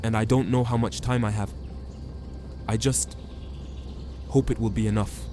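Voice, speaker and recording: A man playing a troubled hidden observer who narrates a fantasy drama in hushed, halting phrases. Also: fairly low pitch, fairly even intonation, background noise, close to an everyday voice. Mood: resolute. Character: watchful hidden observer, troubled chosen one, resolute. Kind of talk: first-person dramatic narration. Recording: music behind the voice.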